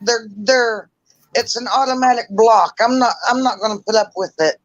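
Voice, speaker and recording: A woman chatting casually on a livestream call, talking loudly and rambling. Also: fairly high pitch, moderate pace, no room sound, lo-fi sound. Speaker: livestream guest.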